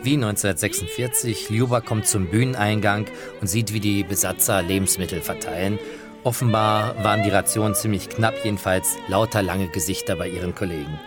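A man's voice: fairly low pitch, brisk, music in the background. A man narrating anecdotes about the opera world as spoken storytelling, animatedly slipping into quoted dialogue.

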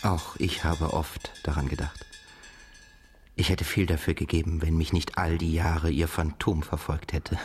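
An actor in a literary radio drama playing a haunted, reflective narrator, courteous and quietly probing, with restrained, formal speech. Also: male voice, a bass voice, flat delivery, unhurried.

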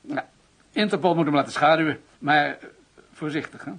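An actor playing a gruff, wary senior police officer in a Dutch radio play, with a gravelly, husky voice. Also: male voice, fairly high pitch, normal speed, fairly bright tone. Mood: brisk, businesslike. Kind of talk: Dutch radio drama dialogue.

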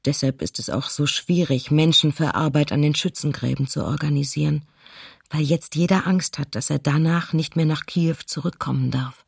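An actress playing a sober, reflective woman writer of thirty-seven, reading her diary in a radio docudrama with a husky voice. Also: low-pitched, moderate pace, no room sound, studio quality, heavily acted. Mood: concerned. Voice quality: husky, creaky.

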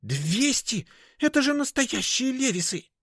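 Male narrator as shady middle-aged saleswoman, rude, bossy, hushed hissing, raised pitch, swooping intonation, audiobook. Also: big pitch swings, a little slow, husky, dry recording, clean studio sound, full character voice. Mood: snappish, haggling. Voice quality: hushed hissing, breaking into shrillness. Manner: snappish, bossy.

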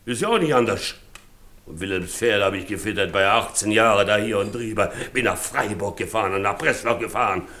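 An actor playing an embittered, blunt older farmhand, grumbling in a radio drama. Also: male voice, fairly low pitch, a little fast, bright, forceful tone. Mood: bitter indignation. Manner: gruff, grumbling, rustic. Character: embittered aging farmhand, coachman, indignant and blunt. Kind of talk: naturalistic radio drama acting.

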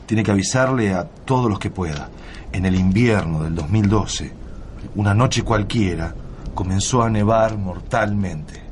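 An actor as a deep-voiced, grave Argentine time traveller. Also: male voice, a little slow, a little hiss or hum, lightly acted. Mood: grave, solemn. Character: male time-travelling wanderer, weary, grave family man. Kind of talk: Spanish-language radio drama acting.

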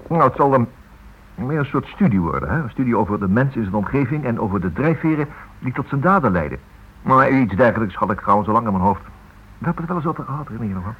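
A man's voice: mid-range voice, sing-song, quick pace, breathy edge. An actor playing an earnest writer in a Dutch radio play, hesitant and eager as he stammers through his sentences. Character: earnest, hesitant male writer researching a criminal. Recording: muffled, noisy old radio play recording.

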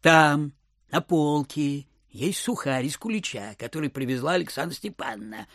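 An actor playing a miserly, suspicious old landowner in an audio drama, muttering and repeating his words in a querulous, husky voice. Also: a little high, lively intonation, moderate pace, fairly bright tone, no room sound, studio quality. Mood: scolding, bossy. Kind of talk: Russian audio drama acting.

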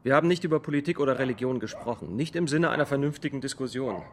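A man's voice: medium pitch, lively intonation, a little hiss or hum, natural voice. An actor playing a stern, educated middle-aged father, speaking formally in a naturalistic radio drama. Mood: resigned. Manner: formal, measured wording.

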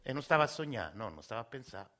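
An actor in his forties playing a working-class Roman father who tells his story in a quiet, wry, confiding way, with a Roman accent. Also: medium pitch, sing-song, fast. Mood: quiet, firm. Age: 40s. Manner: soft-spoken, rambling, wry confiding storyteller. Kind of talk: radio drama monologue.

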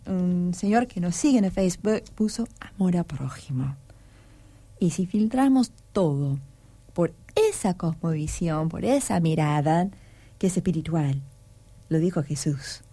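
A woman from the United States hosts a radio talk show from a studio. Her voice is mellow and warm, and it reaches a close, dry microphone. She talks in a reflective, gently persuasive way, with many small fillers. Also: lowish voice, no echo.

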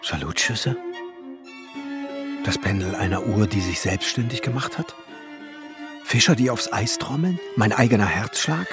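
An actor playing a proud, brooding seventeenth-century alchemist and glassmaker in a poetic radio play, solemn and halting, often sinking towards a whisper. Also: male voice, lowish voice, lively intonation, music in the background. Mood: anxious, questioning.

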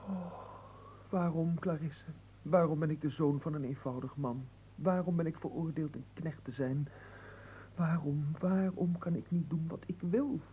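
An actor playing a lovelorn young manservant in a radio play, florid, evasive and poetic, stammering in melodramatic sorrow. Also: male voice, a little high, steady tone, measured pace, dark tone, a little hiss or hum, natural voice, low-quality recording. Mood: plaintive, pleading.

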